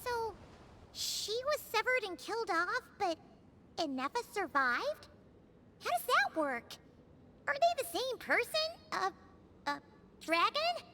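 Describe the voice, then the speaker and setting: A woman voicing a tiny, excitable, childlike fairy companion in a video game, exclaiming and chattering about herself. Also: very high voice, slow pace, pauses often, background noise, exaggerated voice. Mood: puzzled. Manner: refers to herself in third person, exclamatory. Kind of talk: animated video game voice acting.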